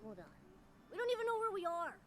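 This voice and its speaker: A woman voicing a small, excitable, cute companion creature in a video game, with exclamatory delivery. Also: extremely high pitch, fast, a crowd in the background. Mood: urgent, curious.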